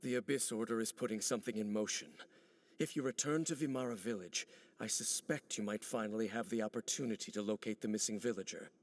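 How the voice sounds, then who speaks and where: A man voicing a serious, commanding warrior in a video game, measured and terse. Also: fairly low pitch, lightly acted. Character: grave, guarded male warrior, commanding and watchful.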